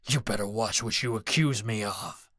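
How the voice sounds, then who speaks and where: Rich: a man putting on a hulking male convict bully, all low snarls and gloating threats. The voice is dark and heavy, recorded tight and dry for an audiobook. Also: moderate pace, dry recording. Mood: threatening. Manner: deep booming snarl. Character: hulking brutish male prison thug, menacing.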